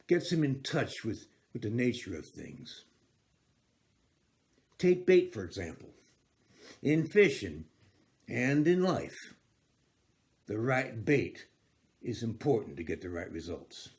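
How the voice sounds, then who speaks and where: Folksy dad type played by a male actor, comic monologue. Unhurried, halting, lively intonation, homespun counsel. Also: medium pitch. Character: folksy fatherly man giving a boy life advice. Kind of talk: comic monologue, amateur theatre.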